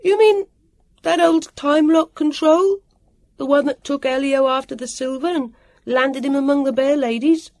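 Storybook little boy played by a woman, high-pitched, loud, petulant, plucky, calling out. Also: breathy edge, heavily acted. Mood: calling loudly. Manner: calling out loudly, drawn-out words.

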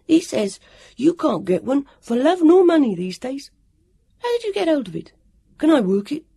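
Woman voicing an excitable boy, pitched high. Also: moderate pace, creaky, dry recording, studio quality, full character voice. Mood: eager, boastful. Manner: loud, eager calling out. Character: excitable, confident, boastful young boy. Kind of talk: audiobook character voice.

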